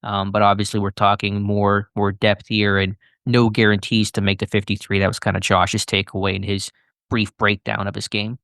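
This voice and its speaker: An American man with a fairly low voice talks through sports analysis as a podcast co-host. His delivery is conversational, fluent and informative, recorded close and dry. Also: monotone, moderate pace, a bit bright, no echo.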